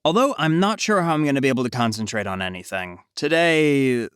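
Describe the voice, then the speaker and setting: Casting: male actor as courteous working dad, smooth and animated, mild-mannered. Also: mid-range voice, brisk, no room sound, clean studio sound, lightly acted. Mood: polite, tired. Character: polite, weary visiting working dad. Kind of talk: audio drama acting.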